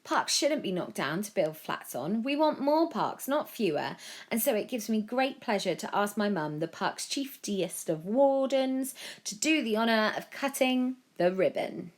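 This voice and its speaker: A woman's voice: medium pitch, expressive, unhurried, pitched above the performer's own voice. A woman voicing a flustered, eager young boy who is prime minister. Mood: proud.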